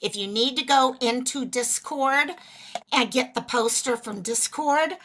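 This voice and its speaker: A woman hosting a casual livestream, chatty and greeting viewers by name, a little hoarse as she is losing her voice. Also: sits high, unhurried, fairly bright tone, slight background noise. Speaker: livestream host.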